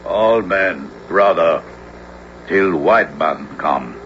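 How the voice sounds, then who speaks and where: Stern warrior, low forceful voice, solemn, halting broken English, suspicious, radio drama, muffled noisy recording. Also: male voice, expressive, full character voice. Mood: stern, accusing. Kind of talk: 1970s radio drama acting.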